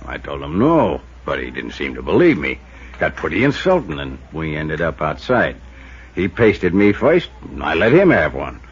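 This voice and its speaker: An American actor playing a gruff, defensive, streetwise hotel bartender with blunt slangy talk in a 1950s radio drama. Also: male voice, very deep voice, huge pitch swings, moderate pace, exaggerated voice. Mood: grudging confession. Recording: muffled, faintly noisy 1950s radio recording.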